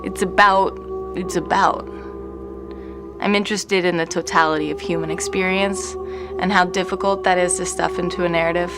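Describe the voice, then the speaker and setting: An actress playing an intense, abrasive composer with grand ideals, sardonic and casually profane, in a naturalistic audio drama. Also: female voice, lowish voice, fairly even intonation, normal speed, natural voice. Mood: intense, earnest. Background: music playing in the room.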